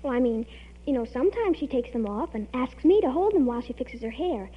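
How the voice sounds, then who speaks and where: An actress playing an excitable, scheming young American teenage girl in a 1940s radio comedy, with an eager, dramatic manner. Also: high voice, brisk, breathy edge. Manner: eager, dramatic, breathless storytelling. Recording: dull old radio recording.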